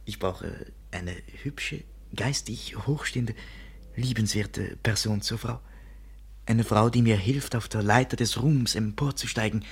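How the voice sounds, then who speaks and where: An actor in a 1950s German radio play playing a vain, scheming young painter with grandiose, flowery speech. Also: male voice, lowish voice, a little slow, slightly rough, heavily acted. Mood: smug, pompous. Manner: grandiose, flowery, self-important. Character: vain, scheming young male French painter, theatrically charming.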